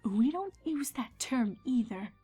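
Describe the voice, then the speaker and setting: A woman voicing a regal elder pony princess and mentor from a storybook, speaking in a measured, formal, diplomatic way. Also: a little high, animated, dark tone, breathy edge, soft background music, heavily acted. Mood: disapproving.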